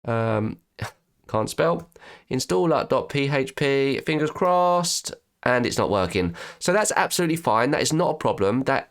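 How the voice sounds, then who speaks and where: Man with a stuffy, croaky cold voice. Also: mid-range voice. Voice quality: slightly croaky. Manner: chatty, restarts and self-corrects while clicking. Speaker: web design tutor. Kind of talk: screen-recorded software tutorial.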